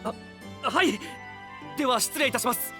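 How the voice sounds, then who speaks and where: A man's voice: very high voice, moderate pace, over music. A male actor playing a young attendant in an anime audio drama, stiffly polite, deferential and easily flustered. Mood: flustered, awed.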